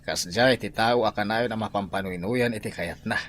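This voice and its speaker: A man's voice: fairly low pitch, normal speed, fairly bright tone, a little hiss or hum, lightly acted. A man playing a stern, worried father in an audio drama, with a Filipino accent. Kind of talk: Ilocano audio drama acting.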